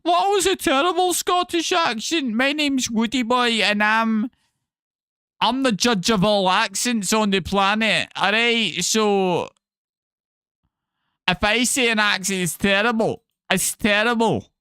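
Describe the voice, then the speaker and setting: A man's voice: high-pitched, a little slow, sharp tone, clean tone, no room sound, clean studio sound, voice pushed up. A man voicing a brash, boastful self-appointed judge of accents who lays down the law. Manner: bossy, emphatic declarations.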